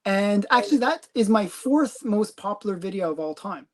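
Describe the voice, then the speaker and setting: A woman's voice: medium pitch, expressive, moderate pace. Chatty giggling woman hosting a livestream. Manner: chatty, giggles often, rambling asides. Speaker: host of a comic collecting channel. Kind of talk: casual livestream chat.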